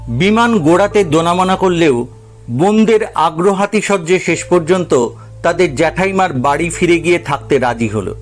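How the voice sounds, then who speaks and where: A man reading a scripted story as a narrator, with dramatic storytelling delivery. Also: on the high side. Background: background music.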